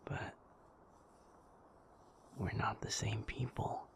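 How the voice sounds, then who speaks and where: A man playing a gentle, patient werewolf in an intimate audio roleplay, soothing and reassuring in a halting, breathy, creaky whisper. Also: lightly acted. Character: gentle, patient male werewolf comforting a sleepless partner.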